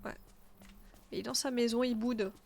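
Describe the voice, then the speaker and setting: A woman's voice: a little high, steady tone, a little slow, creaky, darkish tone, slight background noise. A woman with a stuffy nose chatting casually as she streams a game, thinking aloud and answering her chat. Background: faint game music.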